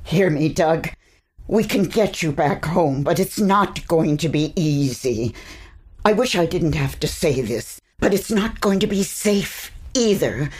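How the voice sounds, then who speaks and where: A woman's voice: sits low, lively intonation, measured pace, darkish tone, slightly rough, full character voice. A woman playing an eccentric older witch, a grandmother in a magical realm, with a theatrical, muttering, exasperated manner in a fantasy audio drama. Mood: grave, explaining. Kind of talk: audio fiction fantasy acting.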